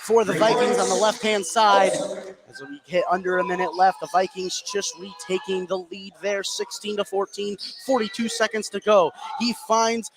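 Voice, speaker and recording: High-pitched man calling basketball live. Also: steady tone, normal speed, crowd noise. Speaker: play-by-play basketball commentator. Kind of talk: live radio sports play-by-play. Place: basketball gym, game sounds behind. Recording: noisy live gym broadcast.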